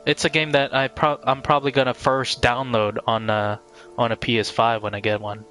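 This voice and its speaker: A man's voice: medium pitch, flat delivery, moderate pace, bright, forceful tone, faint music behind. A man chatting casually and in a rambling way as a game streamer, talking to his viewers over gameplay. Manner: rambling, addresses viewers by name.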